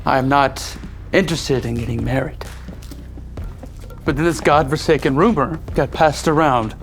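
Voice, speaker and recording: Audio drama lead: an actor as a cold, sarcastic duke, rough fry in the voice, faint music behind. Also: male voice, medium pitch, normal speed, natural voice. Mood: dismissive. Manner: dry, cutting and dismissive. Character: cold, sardonic male duke, aloof and blunt.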